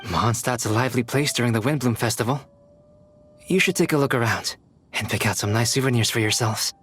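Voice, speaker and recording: An actor playing a calm, erudite young alchemist in a video game, speaking in a measured, formal, precise way. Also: male voice, medium pitch, breathy edge. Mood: matter-of-fact. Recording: music behind the voice.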